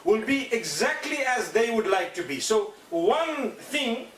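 A man giving a calm religious lecture, speaking softly into a desk mic in an indoor lecture room. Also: sits high, unhurried. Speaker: preacher in played clip. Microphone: desk mic on stand. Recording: echoey, distant, faint hiss.

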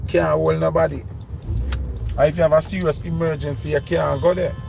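A man's voice: a little high, little pitch movement, brisk, creaky, a little mellow, soft background music. A man in his thirties with a Jamaican accent, giving emphatic commentary from inside a car, repeating his questions and mixing Patois with English. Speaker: vlogger. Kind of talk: ranting commentary. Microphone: phone camera held close. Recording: noisy car interior.

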